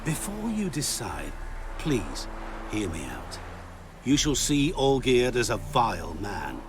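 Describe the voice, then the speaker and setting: An actor playing a courteous, cunning and mysterious dealmaker in a video game, speaking in eloquent, theatrical, formal phrases with deliberate pauses. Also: male voice, mid-range voice, huge pitch swings, background noise, exaggerated voice. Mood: silky persuasion.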